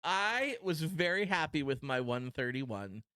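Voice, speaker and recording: A man's voice: on the high side, big pitch swings, a little slow, bright, forceful tone, no room sound. A man in his thirties with a Pittsburgh accent, co-hosting a podcast in a rambling, self-deprecating casual chat. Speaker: podcast co-host.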